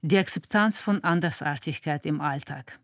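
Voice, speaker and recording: A woman giving measured, thoughtful answers as an interviewee. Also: low voice, no room sound, studio quality. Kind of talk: calm reflective answers.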